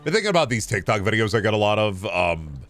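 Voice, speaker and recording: A man chatting casually as a video game streamer, rambling in a halting way and often repeating phrases. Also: on the low side, big pitch swings, a bit bright, soft background music. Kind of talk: casual rambling livestream chat.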